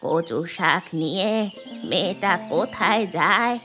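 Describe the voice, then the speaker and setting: A woman's voice: on the low side, expressive, slow pace, pushed and bright, faint music behind, full character voice. A woman voicing a suspicious, nosy old village grandmother in a cartoon, asking questions in an inquisitive way. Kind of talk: Bengali moral-story cartoon dubbing.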